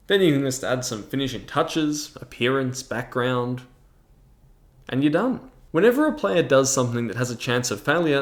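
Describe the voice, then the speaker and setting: A man presents a review as the host, explaining things in a measured way with mid-range pitch. His narration sounds semi-scripted, and the room adds a clear echo around his voice. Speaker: host of a tabletop game review channel. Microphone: large microphone in front of him. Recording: echoey, reverberant room.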